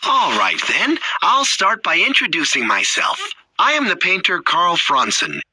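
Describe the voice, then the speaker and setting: Courteous painter, fairly high, sharp bright tone, theatrical, formal, video game. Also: male voice, huge pitch swings, a little slow, husky, no room sound, exaggerated voice, poor audio. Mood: courteous, appraising. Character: self-possessed male painter, courteous, appraising. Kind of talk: scripted video game voice acting.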